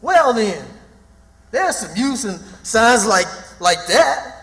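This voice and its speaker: A good-humoured, superstitious Southern man on the run in a radio drama. He drawls slowly in folksy dialect, high and swooping, with long hall reverb. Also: very animated, fairly bright tone, exaggerated voice. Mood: knowing, grumbling. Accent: American South. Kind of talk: radio theatre acting.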